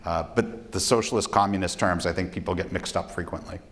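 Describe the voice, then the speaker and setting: A man's voice: sits low, steady tone, a little fast, a bit bright, slight background noise. Man explaining economics in a hall. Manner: explanatory, lecturing. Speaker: economist speaker. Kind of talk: answering audience questions. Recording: reverberant lecture hall.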